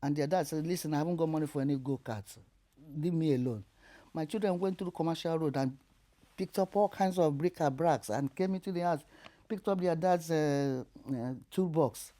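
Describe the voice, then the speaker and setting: An older woman with a Nigerian accent tells her life story in an interview, animated and laughing through hard memories. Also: low voice, normal speed. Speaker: interview guest.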